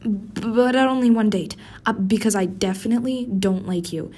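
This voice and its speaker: A man's voice: normal speed, mellow. A man playing a prickly, flustered office worker who hides a crush, stammering and grumbling defensively in a tsundere roleplay addressed to the listener. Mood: flustered, denying. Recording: faint rumbling office and city background noise.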